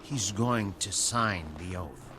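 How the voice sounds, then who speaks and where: An actor playing a menacing, unyielding enforcer of a secret group, deliberate and commanding, in an audio drama with a noisy background. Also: male voice, fairly low pitch.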